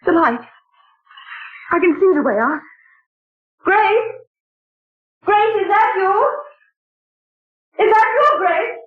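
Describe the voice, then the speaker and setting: An actress playing a genteel woman recounting a ghostly night in 1940s radio drama style, theatrical and breathy. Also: extremely high pitch, very animated, very slow, pauses often, heavily acted. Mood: frightened. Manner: dramatic, exclaiming. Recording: tinny old radio broadcast.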